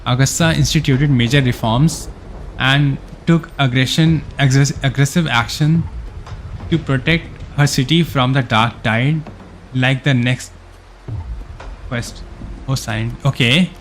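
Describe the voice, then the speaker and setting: A man's voice: mid-range voice, fairly even intonation, a little slow, a little hiss or hum. A man live-streaming a game, reading story text aloud and dropping in casual reactions. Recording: background game music. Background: game music.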